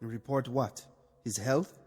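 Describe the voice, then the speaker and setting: Sardonic guilt-ridden rebel officer, husky voice, old tv drama, music underneath. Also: male voice, medium pitch, fairly even intonation, a little mellow. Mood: challenging. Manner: sardonic, deliberate, brooding. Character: bitter, conscience-stricken male revolutionary officer. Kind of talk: mid-century television drama acting. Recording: old TV soundtrack, reverberant, music behind.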